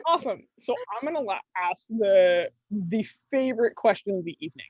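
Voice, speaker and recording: A woman co-hosting a casual chat, brisk, blunt and profane. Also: mid-range voice, lively intonation, dry recording. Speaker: podcast co-host. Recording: dull lo-fi mic.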